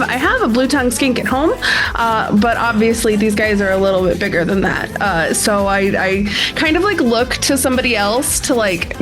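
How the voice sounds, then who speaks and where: A woman plays a tabletop game, improvising casually in a tentative, chatty way. Her voice is fairly high and very animated, with clear music behind it. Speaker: role-playing game player. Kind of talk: casual improvised role-play.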